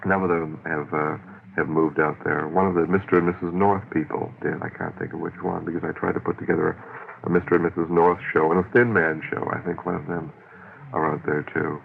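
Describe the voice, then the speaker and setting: Older style radio interview, male host chatting casually, real low gravelly voice, muffled lo-fi sound. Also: flat delivery, brisk, slight background noise. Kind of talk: casual nostalgic interview chat. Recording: dull lo-fi old radio broadcast.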